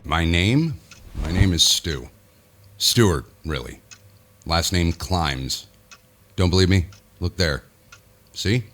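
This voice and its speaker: Weary self-important businessman, very low voice, confiding, theatrical, horror audio drama narration. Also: expressive, slow, pauses often, a bit bright, a little hiss or hum, full character voice. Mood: smug, confiding. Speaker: lead actor narrating in character.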